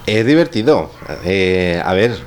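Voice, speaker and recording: Deep voiced guy from spain, spanish accent, wry rambling chat, bit of room noise. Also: lively intonation, slow. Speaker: scriptwriter and course teacher. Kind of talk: casual chat on a radio show. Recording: clearly noisy room recording.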